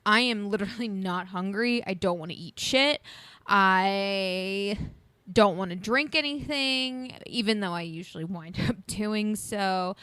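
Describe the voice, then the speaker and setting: A woman in her early thirties talks in a loose, rambling way, laughing between thoughts and sounding a little congested. Her voice is smooth and clean, recorded dry and close with no room sound. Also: mid-range voice, a bit bright, dry recording. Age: 30s. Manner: rambling, chatty, laughs often. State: sniffly, congested. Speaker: podcast co-host. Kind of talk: casual chat.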